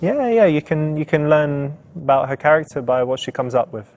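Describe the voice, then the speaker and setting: A man presenting as a dating coach, explaining things in a halting, conversational way. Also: fairly high pitch, animated, darkish tone. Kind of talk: casual explanatory commentary.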